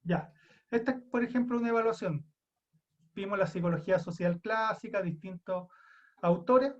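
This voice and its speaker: High-voiced Chilean lecturer on a muffled call. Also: male voice, normal speed. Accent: Chilean Spanish. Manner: casual, self-deprecating, thinks aloud with restarts. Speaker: university lecturer. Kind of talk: online class lecture. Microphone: computer mic on a video call.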